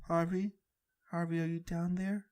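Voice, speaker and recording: A man puts on the voice of a tender young wife in an audio drama. She is anxious and pleading, speaking softly in a raised pitch. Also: little pitch movement, normal speed, lightly acted. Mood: frightened.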